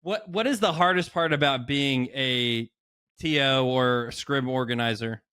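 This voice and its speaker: A man's voice: mid-range voice, a little slow, pushed and bright, clean tone, no room sound, studio quality. A man co-hosting a podcast and interviewing a guest in a casual, chatty style.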